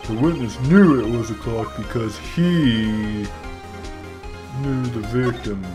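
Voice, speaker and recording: Man as young male defense lawyer, medium pitch, earnest, accusing, declamatory, video game dub, music behind. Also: takes it slowly, lightly acted. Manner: declamatory, insistent.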